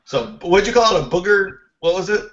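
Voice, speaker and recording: A man with a slightly high voice hosts a casual podcast, joking at his own expense and firing off questions. The sound is dull and lo-fi. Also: lively intonation, brisk, crowd noise. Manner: joking, self-deprecating, asks lots of questions. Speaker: podcast host. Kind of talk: casual chat.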